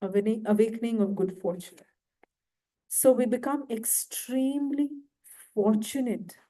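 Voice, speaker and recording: Slow, soft-toned woman lecturing. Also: a little high, rough recording. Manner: slow, asks the audience questions. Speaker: guest lecturer. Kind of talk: devotional lecture. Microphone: handheld mic, failing at times.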